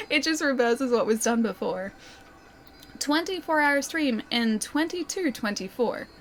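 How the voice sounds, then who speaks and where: A fairly high-voiced woman streams a game and chats casually, reading viewer comments aloud and answering them. She giggles often and fills her talk with "like" and "dude". A faint background noise sits under her voice. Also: animated, takes it slowly, pauses often. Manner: chatty and giggly, with many fillers like "like" and "dude". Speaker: livestreamer.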